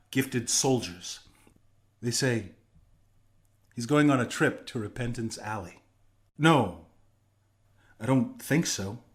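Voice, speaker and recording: A man's voice: mid-range voice, natural voice. A young man playing an earnest, plainspoken griffin rebel and former soldier in a video game. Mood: grim, confiding. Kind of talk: video game character dialogue.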